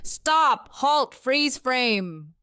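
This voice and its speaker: A woman's voice: high-pitched, sing-song, very slow, bright, forceful tone, clean tone, dry recording, clean studio sound, pitched above the performer's own voice, exaggerated voice. A woman voicing a bossy, theatrical female cat in a children's story, with grand, dramatic exclamations. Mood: commanding.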